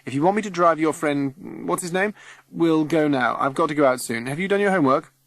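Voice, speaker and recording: A man's voice: on the high side, a little fast, a bit bright, no room sound, pitched above the performer's own voice, natural voice. A man doing a harried, flustered dad. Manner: harried, apologetic. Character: flustered middle-aged dad driving. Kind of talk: audiobook character reading.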